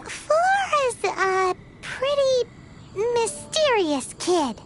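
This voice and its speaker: A tiny floating girl sidekick from a video game, squeaks along at an extremely high pitch. She is childlike, excitable and whiny, drawing her words out slowly while her tone leaps wildly. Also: exaggerated voice. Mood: puzzled. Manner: speaks of herself in third person, exclamatory. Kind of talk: video game character acting. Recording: game music behind voice. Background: game music.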